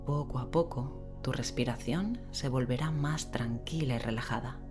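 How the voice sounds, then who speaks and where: Female meditation guide, very deep register, gentle and unhurried, soothing bedtime delivery over prominent relaxing background sound. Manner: gentle, unhurried, with long pauses. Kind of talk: guided sleep meditation, slow soothing instruction. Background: relaxing rain sound and soft music.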